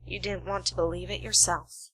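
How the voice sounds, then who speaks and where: A woman's voice: on the low side, steady tone, normal speed, bright, forceful tone, low-quality recording. A woman playing a shrewd, self-assured detective in an amateur radio play, explaining with dramatic deliberation. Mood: accusing.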